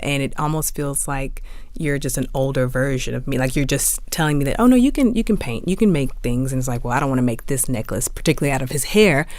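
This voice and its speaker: A woman actor discussing a role casually, in an animated, informal way. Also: extremely low pitch, quick pace, no echo. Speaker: actress on a panel.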